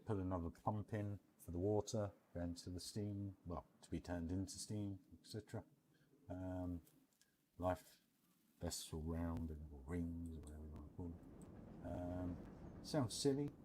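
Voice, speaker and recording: Male game commentator, low and soft-toned voice, casual, unscripted thinking-aloud delivery. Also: a little hiss or hum, poor audio. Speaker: video creator showing his game park build.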